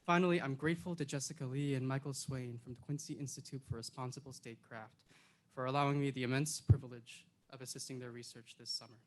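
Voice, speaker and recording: A young man from Illinois, a program intern, reading a prepared personal speech in an earnest, measured and reflective way. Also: mid-range voice, fairly even intonation. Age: young adult.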